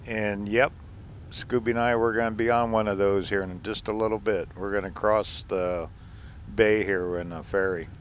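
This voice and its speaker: A man's voice: lowish voice, fairly even intonation, normal speed. Man, travel vlogger, casual chatty, chuckles a lot, camera mic, light background noise. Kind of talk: casual narrated travel vlog. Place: outdoors and in a car on a ferry.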